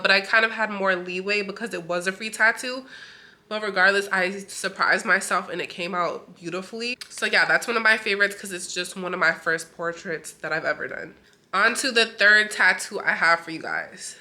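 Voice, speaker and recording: Bright, stuffy-nosed woman vlogging. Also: medium pitch, fairly quick. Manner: chatty, full of "like" fillers. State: stuffy, nasal from a cold. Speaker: tattoo artist and vlogger. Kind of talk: casual vlog chat.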